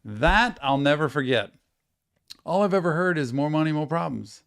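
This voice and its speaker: Slightly hoarse man reacting to music. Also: fairly high pitch, animated, moderate pace, fairly bright tone, no room sound, clean studio sound. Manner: enthusiastic, repeats lyrics back and affirms with yeps. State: losing his voice. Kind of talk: casual music reaction commentary.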